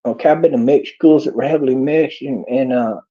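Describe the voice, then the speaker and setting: A man's voice: mid-range voice, a little fast, mellow. A man with a Texas accent giving a rambling, preachy monologue in a folksy drawl. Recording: dull lo-fi clip.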